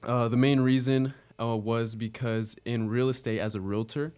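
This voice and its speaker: Late-teens male, American accent, very clear smooth tone, casual interview guest, earnest and slightly halting. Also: medium pitch, fairly even intonation, a little mellow. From Arizona, United States. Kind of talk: casual chat.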